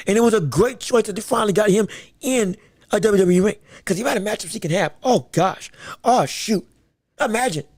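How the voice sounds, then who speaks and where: A man hosting his own sports podcast, talking with a scratchy voice, rambling excitedly with lots of fillers and restarts. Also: sits high, vocal fry, dry recording, clean studio sound. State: scratchy, strained voice. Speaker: podcast host. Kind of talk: solo sports and wrestling podcast chat.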